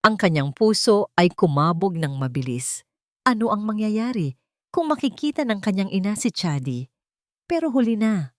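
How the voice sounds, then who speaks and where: A woman's voice: medium pitch, very animated, moderate pace, no room sound, clean studio sound. A woman reading a scripted romantic drama as a storyteller, in a dramatic narrating style.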